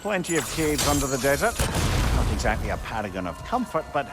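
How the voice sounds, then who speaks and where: Man as a lively sage storyteller. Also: medium pitch, a little fast, close to an everyday voice. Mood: wry, informative. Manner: eloquent, lore-telling. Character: learned, wry male storyteller and guide. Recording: music under the voice.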